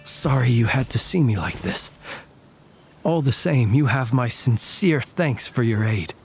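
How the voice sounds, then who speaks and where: A man's voice: medium pitch, lively intonation, measured pace, darkish tone, a little hiss or hum. An actor playing a weary, courtly young man who speaks in formal, archaic and elaborately polite phrases, in video game cutscene dialogue.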